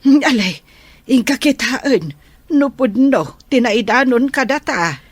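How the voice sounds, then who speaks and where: A woman's voice: on the high side, lively intonation, slow pace, slightly rough, no echo, full character voice. An actress playing a frank older mother who admonishes her grown daughter, in an Ilocano radio drama. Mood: admonishing.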